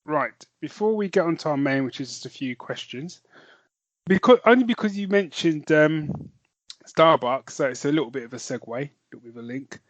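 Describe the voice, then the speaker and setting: A man's voice: fairly high pitch, expressive, fairly quick, no room sound, highs cut off. A man co-hosting a podcast, musing hesitantly and trailing off mid-thought. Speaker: podcast co-host. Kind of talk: casual chat.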